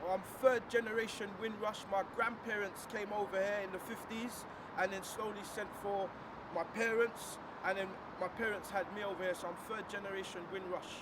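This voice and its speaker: A man, a motivational speaker, introducing himself in an energetic and fluent way outdoors. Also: high-pitched, moderate pace. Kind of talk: upbeat self-introduction. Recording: distant mic with loud traffic outdoors.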